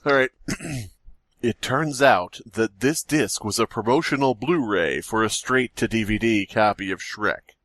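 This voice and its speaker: A man's voice: lowish voice, unhurried, fairly bright tone, dry recording. A man co-hosting a comedy podcast, reading a crude parody story aloud with laughs and swearing. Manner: crude, laughing, breaks into mock song. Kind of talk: casual comedic reading and banter.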